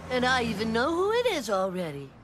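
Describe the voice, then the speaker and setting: A woman's voice: a little high, wildly swooping pitch, brisk, fairly bright tone, soft background music. A woman voicing a self-important young boy who plays detective in a children's cartoon, pompous in manner. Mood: confident, scheming.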